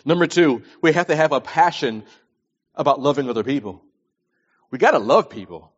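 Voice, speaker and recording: A man's voice: fairly high pitch, expressive, normal speed. A man in his early forties preaching a sermon, speaking emphatically and repeating phrases to stress them. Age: early 40s. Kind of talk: sermon with scripture readings and plain advice. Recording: dull lo-fi recording.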